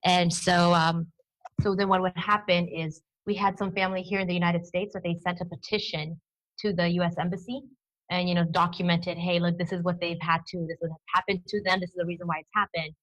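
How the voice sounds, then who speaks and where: A woman in her thirties, born and raised in Romania with Romanian as her first language, talks through her family history in a relaxed interview. She is chatty and tells her stories freely. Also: lowish voice, fairly quick, poor audio. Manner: chatty, storytelling, laughs easily. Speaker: guest. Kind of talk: conversational interview.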